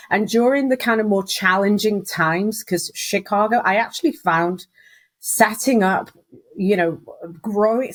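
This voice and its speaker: A woman, a guest telling her career story in a chatty way and laughing often. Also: mid-range voice. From Wales. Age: 30s. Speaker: guest, recruitment business founder. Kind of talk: casual interview chat.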